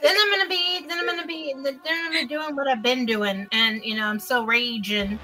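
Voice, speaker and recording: A woman's voice: high-pitched, steady tone, fairly quick, bright, forceful tone, low-quality recording. A boisterous woman bantering as a tabletop game player, jokey and sweary, with faint synth music behind.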